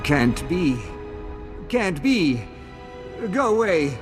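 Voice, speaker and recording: Shell of a man, dazed prisoner in a game, super slow broken words over music. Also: a little high, sing-song, full character voice. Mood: distressed. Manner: halting, fragmented words. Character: broken, dazed male prisoner, a mumbling former leader. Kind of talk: video game dialogue acting.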